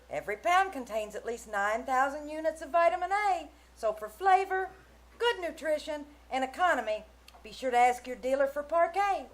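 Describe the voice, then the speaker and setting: A woman announcer giving an earnest, scripted sales read in the style of a 1940s radio commercial. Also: sits high.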